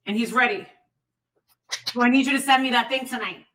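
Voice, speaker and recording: A woman with a high, sharp voice, picked up a little way from the mic. She hosts a casual livestream chat in a blunt, gossipy, profane manner. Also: brisk, distant mic, slight background noise.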